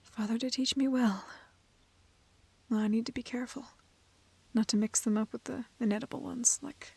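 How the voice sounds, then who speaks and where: A woman playing a cheerful, gentle young woman who gathers plants in a fantasy audio roleplay, often murmuring airily to herself. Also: fairly high pitch, fairly even intonation, moderate pace, gravelly, slightly rough, no echo. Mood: dreamy, content.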